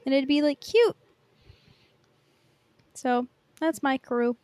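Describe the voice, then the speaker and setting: A woman's voice: sits high, animated, takes it slowly, darkish tone, no echo. A woman chatting casually as a podcast co-host, in informal speech peppered with "like". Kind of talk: casual fan podcast chat.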